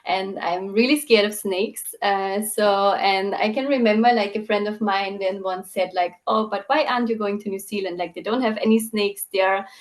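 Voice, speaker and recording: A woman's voice: medium pitch, steady tone, normal speed, hardly any pauses. A young woman from Austria with an Austrian accent, chatting as a podcast guest and peppering her speech with fillers. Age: young adult. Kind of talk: casual chat.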